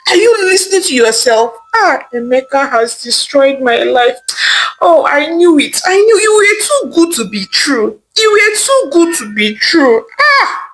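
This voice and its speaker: A woman's voice: on the high side, animated, slow pace, a bit bright, low-quality recording. A woman voicing a distraught young wife who speaks tearfully and repeats herself. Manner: tearful, pleading, repeats words.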